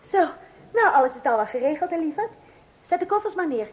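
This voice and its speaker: A woman's voice: high voice, big pitch swings, brisk. A woman playing a sweet, practical wife in a comic radio play, affectionately giving instructions on a faintly noisy old recording. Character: sweet, practical wife reassuring her husband.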